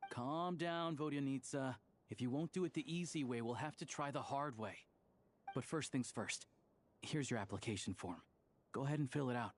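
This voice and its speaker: A man voicing a level-headed, matter-of-fact activist comrade in a video game, with a measured, conversational delivery. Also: medium pitch, slight background noise, natural voice. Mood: calm, dry. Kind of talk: scripted game voice acting.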